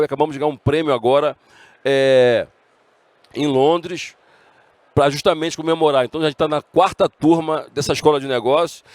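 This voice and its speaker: A man's voice: on the high side, no room sound. A middle-aged man with a Brazilian Portuguese accent giving a conference talk, earnest and often restarting his words. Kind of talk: conference speech. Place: conference stage.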